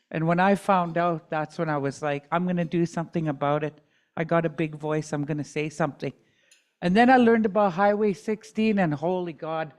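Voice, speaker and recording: A middle-aged woman advocate giving candid personal testimony at a community dialogue, with joking asides. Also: fairly low pitch.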